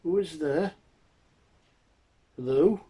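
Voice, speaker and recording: Storybook grandfather, a man acting: old, lonely and gentle, higher husky voice, earnest and kind. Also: drawn-out words, heavily acted. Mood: anxious. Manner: kindly, earnest.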